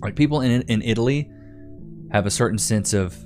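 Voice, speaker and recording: A man's voice: lowish voice, a little fast, darkish tone, faint music behind. A young man, hosting a live stream and chatting casually while reading comments, laid-back and rambling. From Texas. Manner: laid-back, rambling, repeats himself, says "bro".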